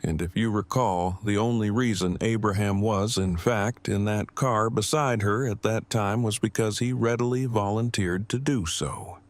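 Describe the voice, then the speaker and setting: An actor playing an awkward, earnest man who speaks in a formal, wordy, deliberate way in a fan audio drama. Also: on the low side, no room sound, close to an everyday voice. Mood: earnest, curious.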